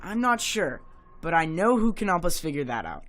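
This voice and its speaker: A man's voice: a little high, very animated, moderate pace, over loud music. A young man in an amateur audio drama playing a curious, earnest teenager who believes in magic. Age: young adult.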